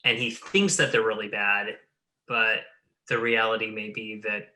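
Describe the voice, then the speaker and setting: A man with a notably bright, forward voice leads a discussion. He explains his ideas thoughtfully, in the style of a reflective conversation about creative work. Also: fairly low pitch, little pitch movement, a little slow. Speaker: podcast host.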